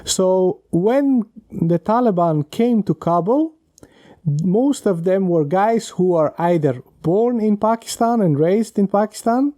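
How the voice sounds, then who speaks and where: A man on a podcast panel explaining and telling stories in halting, deliberate phrases. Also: sits high, expressive, dark tone, no echo, studio quality. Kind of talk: explanatory storytelling.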